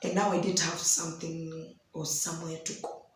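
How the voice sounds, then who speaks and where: Hoarse Kenyan woman in an echoey room. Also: deep voice, little pitch movement, slight background noise. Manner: unhurried, mixes in Swahili phrases. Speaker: host of a daily devotional. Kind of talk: personal storytelling devotional talk.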